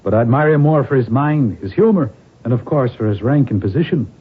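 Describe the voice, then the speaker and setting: A man's voice: mid-range voice, expressive, a little fast, breathy edge. An actor playing a fond, courtly Irish nobleman father in a 1970s radio drama. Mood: fond, reassuring. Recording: muffled 1970s radio broadcast.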